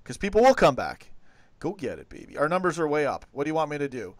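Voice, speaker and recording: A man's voice: fairly high pitch, sing-song, quick pace, dry recording. A man hosting a live football talk stream, chatting in a laid-back, rambling way. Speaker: host.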